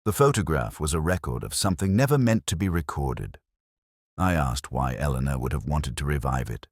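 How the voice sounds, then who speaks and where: A man playing a weary, brooding middle-aged police inspector, narrating a scripted detective story in a measured, reflective, literary manner. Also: extremely low pitch, lively intonation, dry recording, clean studio sound, heavily acted. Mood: somber, reflective.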